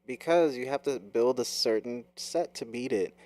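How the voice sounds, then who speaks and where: A man's voice: mid-range voice, moderate pace, a little mellow. A man streaming, chatting casually over a game, brash and profane, repeating himself as he talks. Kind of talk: casual gaming chat. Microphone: game controller mic.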